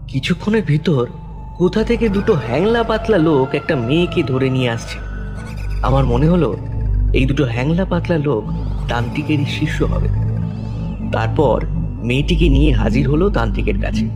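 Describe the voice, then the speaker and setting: A man's voice: mid-range voice, expressive, normal speed, long pauses, music in the background. Man narrating with mid-range voice. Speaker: first-person story narrator. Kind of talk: scripted horror story narration.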